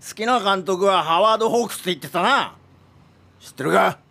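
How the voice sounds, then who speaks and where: Gruff, creaky old film director. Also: male voice, sits high, brisk, bright, forceful tone, exaggerated voice. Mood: reminiscing. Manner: gruff, commanding, needling. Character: domineering, drunken 73-year-old film director and father. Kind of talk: modern radio drama acting.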